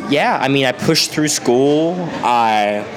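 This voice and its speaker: A man's voice: medium pitch, lively intonation, takes it slowly, over music. A young man from Sweden with a slight Swedish accent, a podcast guest talking casually in a laid-back, rambling way full of "like". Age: young adult. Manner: laid-back, rambling, laughs often, lots of "like".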